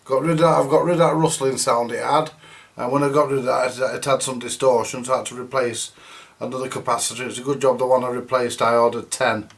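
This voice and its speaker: A man's voice: medium pitch, steady tone, quick pace, vocal fry, soft background music. A man giving casual, off-the-cuff commentary as he presents his radio repair, chatting informally to viewers.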